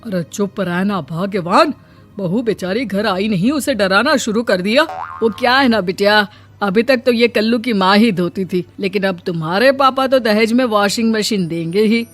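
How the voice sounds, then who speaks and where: A woman's voice: mid-range voice, normal speed, soft background music, close to an everyday voice. A woman voicing an exasperated, grumbling middle-aged Indian village father in a cartoon story. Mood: resigned. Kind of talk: Hindi animated comedy story acting.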